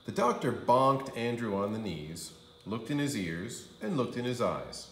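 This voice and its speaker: A man reading a children's story aloud as a kindergarten teacher, with expressive, drawn-out emphasis on key phrases. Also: medium pitch, roomy sound, a little hiss or hum. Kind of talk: animated storybook narration for young children.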